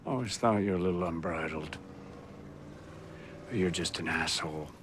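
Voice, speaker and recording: A man's voice: low-pitched, normal speed, noisy background, natural voice. An actor playing a stern army superior officer reprimanding his men in a clipped, commanding way. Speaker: senior military commander. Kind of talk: video game cutscene acting.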